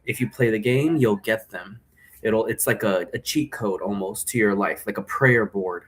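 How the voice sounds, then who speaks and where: A man's voice: on the low side, normal speed, slightly rough. A man hosting a solo vlog, talking in a chatty, informal, meandering way. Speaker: host. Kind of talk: casual rambling solo vlog. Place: indoor room at home.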